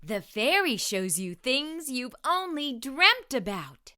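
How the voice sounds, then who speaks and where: A woman's voice: high-pitched, sing-song, slow, pushed and bright. A woman hosting a children's read-aloud, narrating a storybook expressively with careful delivery.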